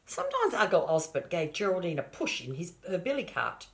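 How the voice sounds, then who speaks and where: A woman's voice: fairly low pitch, huge pitch swings, fairly quick. An older woman, a grandmother, reading a children's storybook aloud expressively and speaking directly to her grandchildren in asides.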